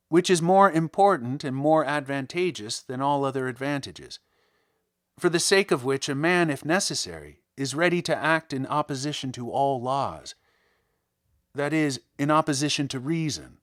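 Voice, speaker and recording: A man narrating a literary audiobook, a first-person monologue, with rhetorical, emphatic and deliberate phrasing. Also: mid-range voice, a bit bright. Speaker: audiobook reader.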